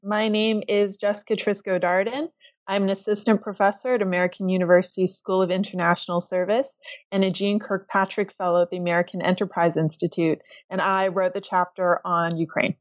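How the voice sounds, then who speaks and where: A woman professor answering interview questions in a thoughtful, measured way, pausing often with fillers. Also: mid-range voice, little pitch movement, no echo. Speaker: guest author, professor. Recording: distant dull lo-fi remote audio.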